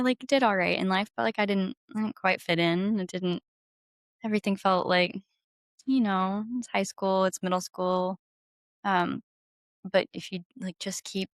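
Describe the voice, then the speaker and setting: A woman's voice: mid-range voice, no echo, studio quality. A woman chatting casually as an interview guest, informal and effusive, peppering her sentences with "like". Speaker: interview guest, singer-songwriter. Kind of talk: casual chat.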